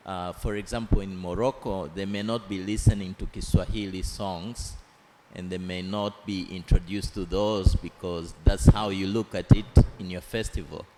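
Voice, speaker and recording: A man from Tanzania in the audience, asking the panel a question in a deliberate, searching way. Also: low-pitched, warm tone. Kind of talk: question from the floor. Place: large conference hall. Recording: long hall reverb, faint background noise.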